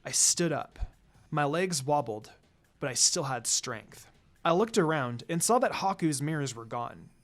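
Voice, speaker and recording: A man's voice: fairly high pitch, expressive, a little slow. A man reading fan fiction aloud as dramatic first-person story narration. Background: background music.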